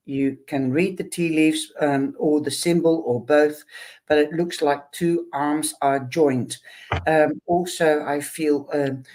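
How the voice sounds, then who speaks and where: Guy doing a slow tarot reading to camera, lots of ums, kinda higher voice. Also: a little mellow. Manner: hesitant, many ums, addresses viewer fondly. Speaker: tarot and tea-leaf reader.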